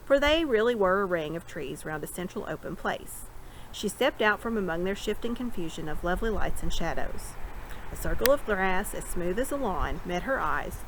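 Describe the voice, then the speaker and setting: A woman narrates a novel in an expressive read-aloud style. Her voice sits at a medium pitch. The recording has clear noise behind her. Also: rough recording. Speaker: storybook reader.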